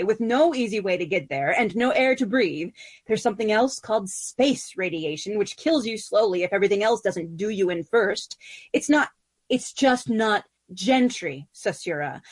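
A woman voicing a calm, wry wife who explains things patiently in a lower, drier tone. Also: lightly acted. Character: calm, wry former-mortal wife, fond.

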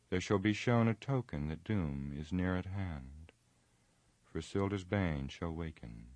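A man's voice: deep voice, darkish tone, no room sound. A man voicing a remote prophetic dream voice. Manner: slow, solemn chanting.

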